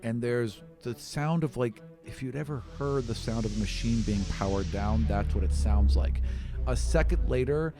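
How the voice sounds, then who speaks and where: A man's voice: medium pitch, moderate pace. A man narrating a tabletop role-playing game as game master, describing scenes in an improvised, conversational way. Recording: music playing behind the voice. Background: background music.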